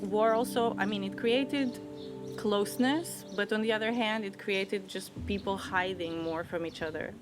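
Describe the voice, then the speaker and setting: A woman in her thirties from Serbia with a Serbian accent, whose first language is Serbian. She tells her own story in a reflective, thoughtful way, often restarting her phrases and laughing softly. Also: mid-range voice, moderate pace. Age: 30s. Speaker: interviewee. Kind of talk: personal storytelling. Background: music.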